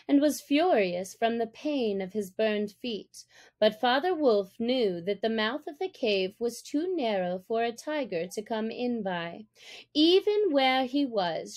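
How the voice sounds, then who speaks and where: Very slow, high-pitched woman storyteller. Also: darkish tone. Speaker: audiobook narrator. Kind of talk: scripted storybook narration.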